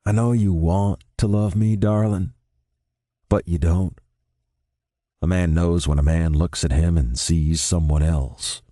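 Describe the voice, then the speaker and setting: A man voicing a smooth-talking Texan man, sad and resigned, with a low drawl. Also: steady tone, measured pace, mellow, dry recording, studio quality. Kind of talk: audiobook character voice.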